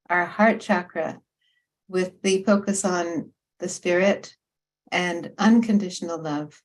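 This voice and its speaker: A woman leads an online meditation program as its host. Her voice sits at a middle pitch as she gives welcomes, practical notes and introductions over a video call. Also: a little mellow. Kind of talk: welcoming announcements to a group.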